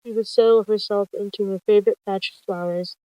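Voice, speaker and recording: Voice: mid-range voice, steady tone, a little fast, clean tone, no echo, dull audio. A storyteller reading out a scripted animal roleplay tale, narrating and voicing all the characters.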